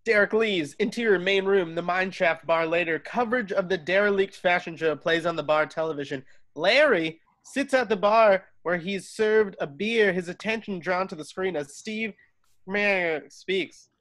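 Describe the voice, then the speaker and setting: Casting: male stage direction reader, notably high voice, scripted narration for an amateur online table read. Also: normal speed, a bit bright. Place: home, remote video call.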